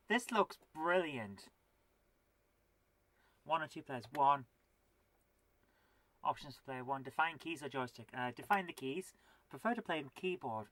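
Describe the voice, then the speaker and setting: A woman's voice: sits low, a bit bright, slight background noise. Woman around forty with a cold, chatting away on a live retro game stream, jokes at her own expense. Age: 40s. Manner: chatty, self-deprecating, talks to viewers while playing; mutters instructions to herself while playing. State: has a cold. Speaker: retro gaming host. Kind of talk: live gameplay stream chat.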